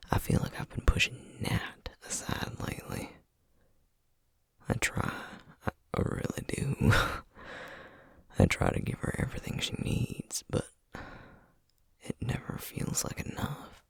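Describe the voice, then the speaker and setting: A man's voice: on the low side, wildly swooping pitch, no echo, studio quality, exaggerated voice. Man acting a whispering, burnt-out Southern sorcerer dad. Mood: exhausted, troubled. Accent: Southern American. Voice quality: hushed, breathy whisper. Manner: halting, confiding, with sighs and trailing pauses. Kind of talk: whispered ASMR roleplay.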